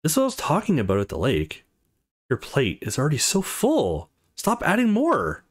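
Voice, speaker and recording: A man's voice: on the high side, huge pitch swings, normal speed, slightly rough, voice pushed up, exaggerated voice. A man voicing a blunt, caring young woman who chides her friend worriedly in a visual novel. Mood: worried, chiding. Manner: chiding.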